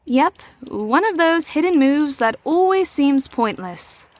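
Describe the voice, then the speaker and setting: A woman playing a shrewd, teasing adult mentor to troubled teens in an audio drama, with a measured, knowing, probing delivery. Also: sits high, lively intonation, darkish tone.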